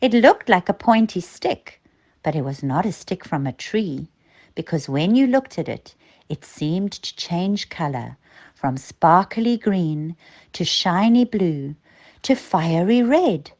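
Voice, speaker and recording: A woman's voice: lowish voice, expressive, slow pace, a little mellow. A woman narrating a children's story as a storyteller, expressively and with dramatic emphasis.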